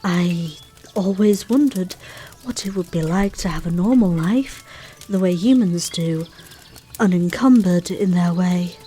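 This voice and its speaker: A woman playing a calm, wistful fate spirit curious about mortals, with measured delivery in an audio drama. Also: fairly low pitch, slight background noise, lightly acted. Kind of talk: scripted audio drama dialogue.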